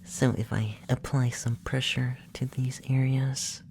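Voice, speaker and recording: Casting: performer as gentle, effeminate young male alien abductor, ASMR roleplay; mellow half-whisper, soothing matter-of-fact delivery, some background noise. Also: mid-range voice, little pitch movement. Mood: calm, curious. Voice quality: soft, breathy, often whispered. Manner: slow, soothing, softly spoken, matter-of-fact.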